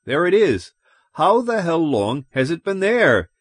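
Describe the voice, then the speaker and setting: Casting: male narrator as a hysterical, horrified shopkeeper man, high and urgent, very animated, audiobook drama. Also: unhurried, no room sound, studio quality, exaggerated voice. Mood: excited, indignant. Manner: agitated, exclamatory.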